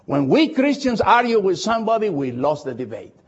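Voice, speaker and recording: Older male pastor, foreign accent, husky and highly expressive, preaching with emphatic repetition; slightly dull, lo-fi recording. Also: fairly quick. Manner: emphatic repetition of short phrases. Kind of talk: expository sermon with personal anecdotes.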